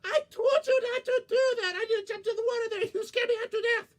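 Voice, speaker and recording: Male storyteller voicing a shrill, teasing female animal trickster from a folk tale. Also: big pitch swings, measured pace, darkish tone, full character voice. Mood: scolding. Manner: teasing, mischievous.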